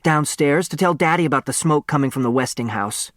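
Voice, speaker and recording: A man's voice: fairly high pitch, brisk, fairly bright tone, no room sound, studio quality, voice pushed up, natural voice. A man voicing a bratty, defiant young girl in an audiobook, snappy and blurting her words. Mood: sullen.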